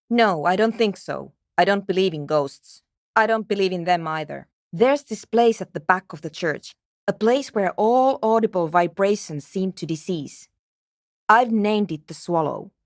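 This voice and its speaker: A woman's voice: medium pitch, dry recording, close to an everyday voice. An actress playing a brusque, nerdy woman programmer in a video game, with a dry, matter-of-fact, sardonic manner. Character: brusque, nerdy young woman programmer researching a radio anomaly. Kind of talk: video game character dialogue.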